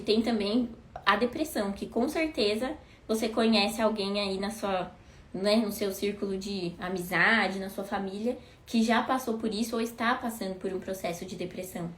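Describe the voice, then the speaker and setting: A woman's voice: mid-range voice, normal speed. A woman in her thirties talking casually to viewers, asking herself questions and answering them. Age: 30s. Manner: conversational, asks rhetorical questions. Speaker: host. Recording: echoey room with faint noise.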